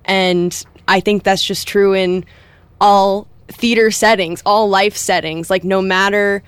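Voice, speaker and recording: A woman's voice: mid-range voice, fairly even intonation, takes it slowly, clean tone, no room sound. A woman, a cast member, speaking reflectively in a casual interview.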